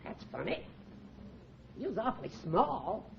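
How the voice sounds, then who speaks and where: A man's voice: high voice, sing-song, slow, creaky, exaggerated voice. An actor playing a fussy, indignant older male judge in a 1940s radio comedy. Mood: grumbling, baffled. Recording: muffled, noisy old radio recording.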